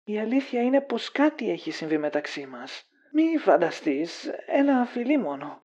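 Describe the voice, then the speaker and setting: Woman as a mellow, animated, lovesick young man. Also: mid-range voice, husky. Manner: casual, guarded, wistful. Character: lovesick, reluctant, self-deprecating young man. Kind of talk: conversational audio drama acting. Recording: dull lo-fi audio.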